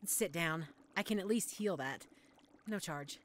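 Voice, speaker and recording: A woman's voice: on the low side, a little slow, noisy background, lightly acted. A woman playing a chatty, flustered young witch-in-training shopkeeper in an audio roleplay, with a theatrical, rambling manner. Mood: chatty, sympathetic. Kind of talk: scripted audio roleplay, addressed to the listener.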